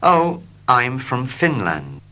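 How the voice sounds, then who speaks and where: Slow, lively, bright-voiced male English teacher. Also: mid-range voice. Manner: slow, clear and expressive. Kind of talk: slow scripted reading for learners.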